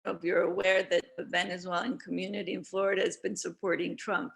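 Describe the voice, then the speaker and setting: A woman's voice: fairly low pitch, level tone, fairly quick, vocal fry, a little hiss or hum. A woman speaking as a guest on an online panel, earnest, often repeating words as she starts a thought. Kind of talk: casual online panel discussion.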